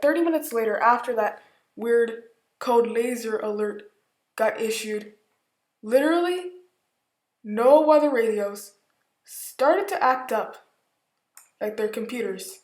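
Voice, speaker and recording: A woman telling a story casually and informally, in a halting way with emphatic repetition. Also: fairly high pitch, darkish tone. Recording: echoey room.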